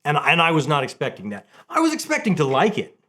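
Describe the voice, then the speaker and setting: A man's voice: on the high side, wildly swooping pitch, fast talker, sharp tone. A middle-aged man chatting casually and enthusiastically about books as a channel host, repeating phrases for emphasis. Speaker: host of a book review channel.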